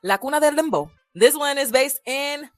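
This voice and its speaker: A woman vlogging to camera about films, chatty and animated, full of playful asides and slang. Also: sits high, moderate pace, no room sound, faint music behind. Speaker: vlogger reviewing films for language learners. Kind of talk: casual chat to camera.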